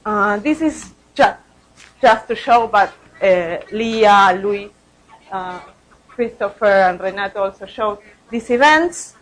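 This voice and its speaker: A woman's voice: medium pitch, lively intonation, slow pace, poor audio. A woman researcher presenting study results at a conference, speaking hesitantly and often repeating words.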